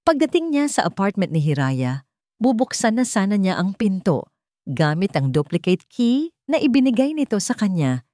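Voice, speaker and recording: A woman's voice: medium pitch, darkish tone, dry recording, clean studio sound. A woman reading a scripted love story as a dramatic narrator.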